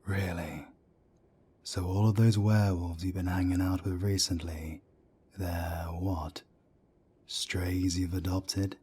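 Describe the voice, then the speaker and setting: A man playing a calm, firm older werewolf councillor and mentor in an audio drama, with a soft, breathy rasp. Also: low-pitched, a little hiss or hum. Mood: low, firm. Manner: measured, firm, reassuring. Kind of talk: scripted roleplay audio drama.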